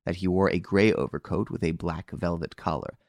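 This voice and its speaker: A stolid middle-aged police officer, as a man reads him, low and gravelly, questioning in a flat, businesslike way. The sound is dry and close. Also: a little fast, no room sound. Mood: matter-of-fact.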